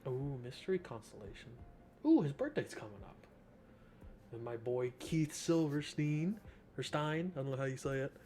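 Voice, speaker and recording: A man's voice: mid-range voice, lively intonation, normal speed, warm tone, slight background noise. A young man giving casual, slangy, joking gameplay commentary as a streamer. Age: young adult. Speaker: game streamer.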